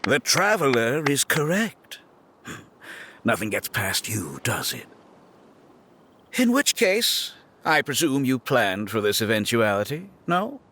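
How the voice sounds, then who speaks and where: A man's voice: medium pitch, very animated, slow pace, sharp tone, a little hiss or hum, heavily acted. A man voicing a suave, scheming game villain with a grandiose, polished and condescending manner. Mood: smug. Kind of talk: video game voice acting.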